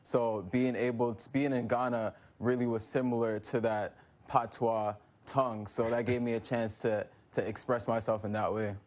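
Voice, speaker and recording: A young man from New York answering interview questions in a relaxed, casual way, with frequent restarts. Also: mid-range voice, normal speed. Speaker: guest.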